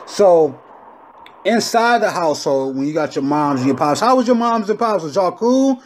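A man's voice: sits high, animated, moderate pace, slight background noise. A man hosting a casual interview over a remote call, talking loosely and filling gaps with repeated "okay, okay" and "I got you.". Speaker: interview host.

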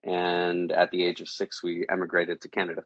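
Very deep husky man from Chile. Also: monotone, normal speed, fairly bright tone, dry recording, muffled recording. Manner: thoughtful, many fillers, repeats words. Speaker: guest, creative director. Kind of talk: casual interview answers.

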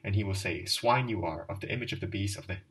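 A man's voice: lowish voice, fast, gravelly, fairly bright tone, distant mic. A man reading as a drunken, pleading old soul. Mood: fervent. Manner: fervent, rambling confession. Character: drunken ragged middle-aged man, pleading and self-pitying. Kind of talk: audiobook dialogue reading.

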